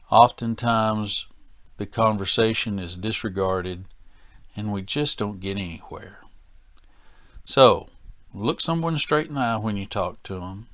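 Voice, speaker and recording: A man teaching as a safety training instructor in a plain-spoken, deliberate, folksy manner. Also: lowish voice, a bit bright, dry recording. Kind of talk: instructional presentation to workers.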